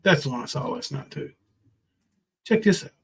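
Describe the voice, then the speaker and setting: A man's voice: mid-range voice, animated, moderate pace, gravelly, fairly bright tone, husky. A middle-aged man hosting a casual livestream, chatting in an informal, foul-mouthed way. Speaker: livestream host.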